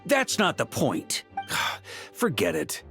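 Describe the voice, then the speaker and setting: Exasperated, expressive knight commander. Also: male voice, fairly high pitch, extremely slow, faint music behind, exaggerated voice. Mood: weary, pointed. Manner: authoritative, gruffly paternal. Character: exasperated, commanding older male knight grand master. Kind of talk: anime game voice acting.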